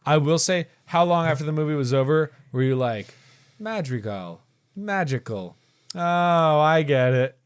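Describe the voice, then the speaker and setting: A man's voice: a little high, lively intonation, moderate pace, clear, resonant tone, no echo. A man co-hosting a casual movie review podcast, curious and teasing in conversation. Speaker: podcast co-host. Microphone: podcast mic.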